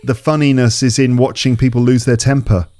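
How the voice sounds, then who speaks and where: A man guest on a podcast who chats casually and thinks out loud, often restarting his sentences. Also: medium pitch, flat delivery, fairly quick. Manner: thinking aloud, with many restarts and hedges. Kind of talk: casual conversation.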